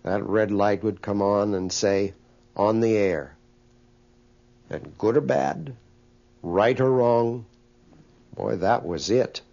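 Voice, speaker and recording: A man reminisces for a documentary in a mellow, gravelly voice. He speaks emphatically and very slowly. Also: lowish voice. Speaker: interviewee. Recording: dull, muffled audio.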